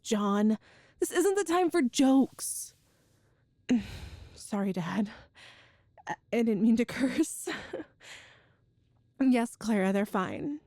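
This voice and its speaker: A woman's voice: fairly high pitch, dry recording, studio quality. A woman playing an affectionate, playful girlfriend from a ghost family in an ASMR audio roleplay, chatty and tender. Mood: flustered, exasperated, then warm, amused. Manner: chatty and tender, with soft laughs and sighs.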